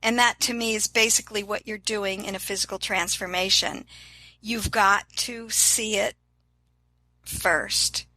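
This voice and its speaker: A woman's voice: medium pitch, fairly even intonation, measured pace, sharp tone, no echo, low-quality recording. An older woman talking as an interview guest, upbeat and encouraging in a casual motivational tone.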